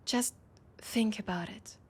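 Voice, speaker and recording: Woman voicing a battle-scarred female vampire leader, French accent, breathy husky tone, halting and intimate, proud yet desperate, audio roleplay. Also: medium pitch. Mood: pleading, ashamed. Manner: hesitant, halting, breathy asides dropping into whispers.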